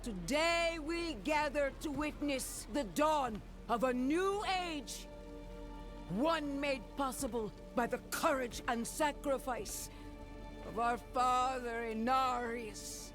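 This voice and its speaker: Stern, measured high priestess. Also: female voice. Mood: solemn proclamation. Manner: measured, authoritative, oratorical. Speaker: religious leader. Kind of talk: cinematic fantasy game acting. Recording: music behind.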